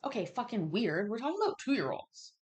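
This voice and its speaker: A woman's voice: a little high, big pitch swings, brisk, darkish tone. A woman in her thirties hosting a casual livestream quiz, reading questions aloud and chatting candidly, swearing and interrupting herself. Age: 30s. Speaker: host of a live online trivia chat.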